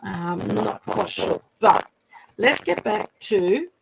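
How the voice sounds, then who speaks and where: A woman with a croaky voice, chatting casually and apologetically on a home live stream while fixing her phone camera. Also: medium pitch, expressive, slow, a little hiss or hum, poor audio. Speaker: live stream craft demonstrator. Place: room at home.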